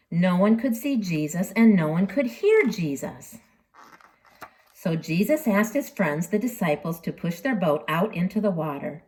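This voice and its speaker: Animated woman reading a children's storybook. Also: lowish voice. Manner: dramatic, with deliberate pauses and emphasis.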